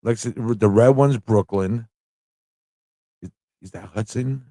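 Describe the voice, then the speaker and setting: A man's voice: lowish voice, slow, warm tone, no room sound, studio quality. A man hosting a livestream in a casual, rambling way, thinking aloud and repeating phrases as he goes. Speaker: livestream show host.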